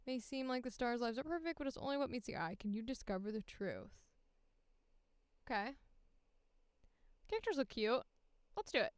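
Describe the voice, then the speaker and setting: High, clear-voiced woman chatting casually. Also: animated, normal speed, pauses often, no room sound. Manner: informal, thinking aloud. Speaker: voice actor, streamer. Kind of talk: casual livestream chat, reading aloud and commenting.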